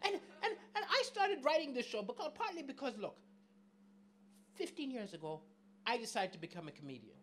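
A middle-aged man from southern Africa performing stand-up comedy on a small club stage, chatty and animated, questioning the audience into a handheld mic. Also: very high-pitched, a little fast, a little hiss or hum. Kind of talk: stand-up comedy set with crowd work.

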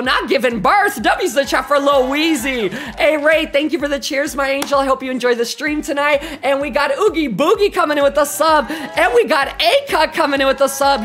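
High-pitched, animated young male livestreamer. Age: 20s. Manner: animated, effusive, campy. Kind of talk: hyped casual chat to live viewers. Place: small bedroom streaming setup, indoors.

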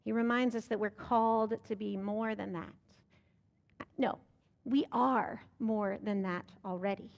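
A woman's voice: high voice, a bit bright, big-room sound. A young American woman pastor preaching a sermon from the pulpit of a church sanctuary, asking rhetorical questions and building to emphatic, rising lines. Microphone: pulpit microphone.

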